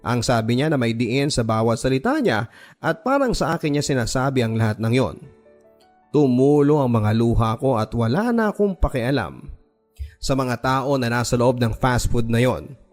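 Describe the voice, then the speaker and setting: A man's voice: mid-range voice, expressive, moderate pace, darkish tone. A man narrating a scripted first-person story in a dramatic radio style, with background music.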